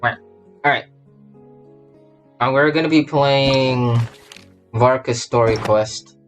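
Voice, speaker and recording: A man chats casually as he streams a game, at a slow pace and a middling pitch. He is scattered, restarting and repeating phrases as he goes, with faint music behind him. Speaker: livestreamer, gamer VTuber. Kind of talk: casual livestream chat while setting up a game.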